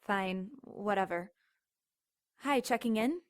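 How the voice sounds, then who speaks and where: An actress playing a chatty, casually sarcastic young motel desk clerk in an audio drama. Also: female voice, medium pitch, slow, close to an everyday voice. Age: young adult. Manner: casual, sarcastic, then terrified.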